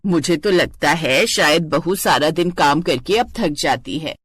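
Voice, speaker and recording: Doting elderly mother-in-law played by a female narrator, homely and proud, lowered voice, dry studio sound. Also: lively intonation, measured pace, slightly rough, no echo, heavily acted. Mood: concerned. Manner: warmly praising, homely advising.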